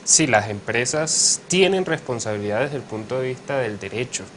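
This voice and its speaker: A young man from Colombia's Caribbean coast, with its accent, explaining law as an invited professor in a measured way, pausing often with fillers. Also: mid-range voice, lively intonation, a bit bright, slight background noise. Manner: measured, with frequent hesitations and fillers. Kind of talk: academic interview explanation.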